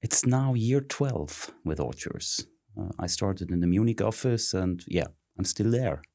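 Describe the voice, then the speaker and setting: A middle-aged man with a very low voice talks through his career. He pauses often, filling gaps with ums and uhs. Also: creaky, mellow. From Switzerland. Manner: halting, many ums and uhs. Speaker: interview guest, search consultant. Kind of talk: conversational interview.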